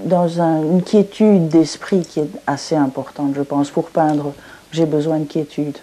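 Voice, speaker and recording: A middle-aged woman from Belgium, an artist interviewed on television, speaking in a thoughtful, measured way and searching for her words. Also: low voice, fairly even intonation, warm tone. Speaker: painter and philosopher interviewed.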